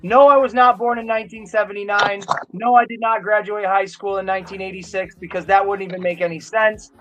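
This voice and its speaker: A man's voice: high voice, steady tone, fairly quick, a bit bright, dull audio. A man in his thirties joking and teasing on a casual stream chat, swearing now and then. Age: 30s. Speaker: guest on a stream.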